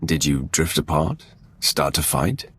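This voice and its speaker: An actor playing a commanding, composed dark warrior lord in a video game, with a deep gravelly voice and measured, formal delivery. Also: male voice, animated, heavily acted. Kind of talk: video game dialogue acting.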